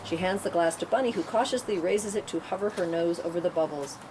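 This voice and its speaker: Female narrator for a script table read, lowish voice, stage directions, clearly noisy room. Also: quick pace.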